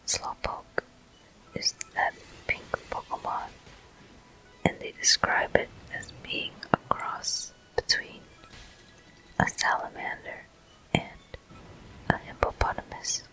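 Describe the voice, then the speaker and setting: A whispering game host giving soft, deliberate ASMR commentary with frequent pauses, close to the microphone. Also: poor audio. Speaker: host of gameplay walkthrough.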